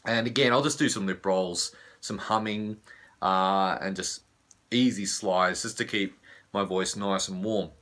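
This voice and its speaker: A man with an Australian accent, a vocal coach whose voice is husky from laryngitis, explaining vocal care in a relaxed way with many fillers. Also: sits low, unhurried. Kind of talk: teaching video.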